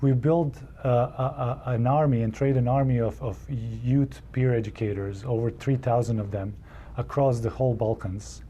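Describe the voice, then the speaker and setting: A man with a Serbian accent tells a personal story in English, earnest and halting, often restarting his phrases. His voice is very dark and mellow, and his pitch sits in a middling range. Faint office noise hums behind him. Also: steady tone, dull audio. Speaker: public health colleague telling his story.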